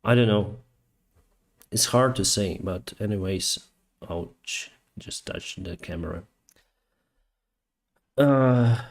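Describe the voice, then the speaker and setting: Slow-talking man with a Ukrainian accent. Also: lowish voice, a little mellow. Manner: hesitant, halting. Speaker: live stream host, airline pilot. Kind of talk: casual live chat, reading and answering viewer comments.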